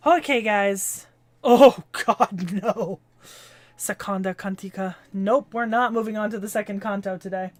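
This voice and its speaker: A woman streamer whose voice is going hoarse after a long session of reading aloud. She rambles tiredly with many fillers, at an ordinary pitch. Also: unhurried, darkish tone. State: losing her voice.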